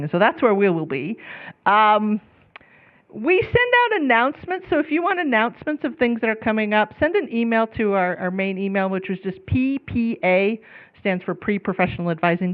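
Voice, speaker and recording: A woman pre-law advisor giving a chatty informational talk to students over a microphone. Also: medium pitch. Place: lecture room, on microphone. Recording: phone-like thin room recording.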